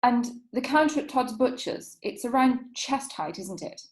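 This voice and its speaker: A woman's voice: fairly high pitch, expressive, moderate pace, reverberant, close to an everyday voice, rough recording. An actress playing a crafty female defence lawyer in a period courtroom play, formal and insinuating. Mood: leading, insinuating. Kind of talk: theatrical courtroom play acting.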